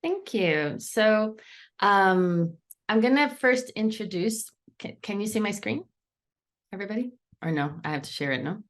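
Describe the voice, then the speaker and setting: A woman's voice: mid-range voice, expressive, unhurried. A woman from Brazil, a coach and author, giving a chatty webinar presentation over a video call, with frequent fillers and playful asides. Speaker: guest speaker, coach and author.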